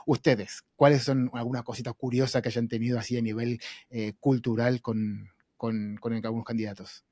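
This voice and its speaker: A man hosting a podcast in casual chat, with informal, halting phrasing that often repeats words. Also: medium pitch, little pitch movement, darkish tone, no room sound. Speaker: podcast host.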